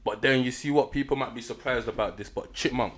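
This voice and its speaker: A man's voice: mid-range voice, fast talker, a bit bright. Laid-back London man chatting. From Nigeria. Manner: laid-back slangy talk, laughs often. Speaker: rapper guest. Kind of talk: casual chat.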